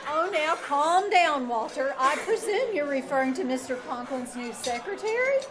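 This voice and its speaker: Wry schoolteacher, played by a woman: high voice, brisk sarcastic quips, live radio comedy with a loud audience. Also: lively intonation, over a noisy crowd. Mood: brisk, take-charge. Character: wry, sharp-tongued female schoolteacher. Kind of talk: old-time radio comedy acting. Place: small-town theater stage, live performance. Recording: live theater stage with audience.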